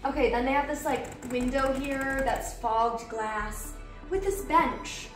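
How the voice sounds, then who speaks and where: A woman's voice: sits high, slow, reverberant, soft background music. A young woman with a slightly nasal voice, just over a cold, giving a gushing, chatty house tour. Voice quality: nasal from recent illness. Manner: bubbly, gushing, lots of "like". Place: bright home interior, indoors.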